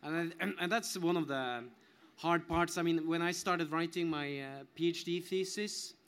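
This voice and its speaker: Male academic panelist, slightly high voice, explaining in a halting, self-correcting way. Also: fairly bright tone, smooth. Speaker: author and academic guest.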